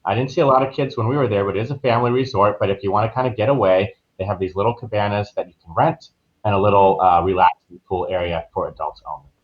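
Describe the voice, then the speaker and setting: The sound is slightly dull and lo-fi. A man with a lowish voice talks informally as a travel professional being interviewed. He explains things in a casual, conversational way. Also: quick pace. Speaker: travel professional, podcast guest.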